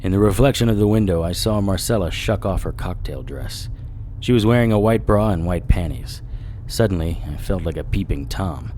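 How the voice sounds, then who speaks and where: A man's voice: low-pitched, animated, normal speed, mellow, a little hiss or hum. An actor playing a wry, sardonic hard-boiled investigator who narrates his own story in an audio drama. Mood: cool, guarded.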